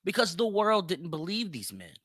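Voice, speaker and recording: A man's voice: high voice, sing-song, moderate pace, fairly bright tone, no room sound, clean studio sound. A man hosting a solo opinion podcast, speaking emphatically and repeating phrases for effect. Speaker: podcast host.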